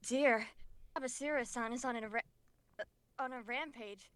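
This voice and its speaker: Woman as high, bright, fussily proper anime character. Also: normal speed, clear, resonant tone, dry recording, lightly acted. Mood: flustered. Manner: fussy, formal diction. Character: flustered, proper, old-fashioned polite young character. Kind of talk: anime comic dub.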